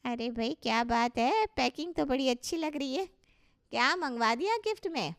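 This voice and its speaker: Cartoon aunty voiced by a woman: older, nosy, blunt, bossy, fairly high voice. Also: lively intonation, moderate pace. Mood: curious. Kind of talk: Hindi cartoon story dialogue.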